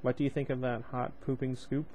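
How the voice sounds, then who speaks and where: A young man reading a novel aloud, casual and unpolished. He rambles, drops in chatty asides and stops to find his place again. His tone is mellow and on the dark side. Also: medium pitch, little pitch movement, fairly quick, a little hiss or hum. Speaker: book reader, channel host.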